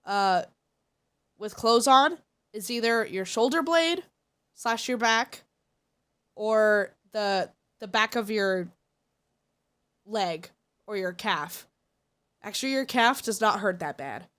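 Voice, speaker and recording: A woman's voice: medium pitch, lively intonation, slow pace, long pauses, a bit bright, smooth. A congested woman streamer chatting casually and giving blunt, opinionated takes. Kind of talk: casual live-stream chat.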